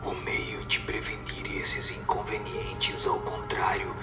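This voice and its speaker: A man playing a solemn spirit teacher in a radio drama, speaking in a measured, didactic and breathy whispered voice. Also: low-pitched, monotone. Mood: solemn instructive. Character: solemn male spirit teacher giving moral answers. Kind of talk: scripted radio drama recitation. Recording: echoing voice over music.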